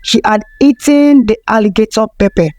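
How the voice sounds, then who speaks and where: A woman's voice: a little high, moderate pace, a little mellow, no echo. A woman narrating a folktale from a script as a storyteller.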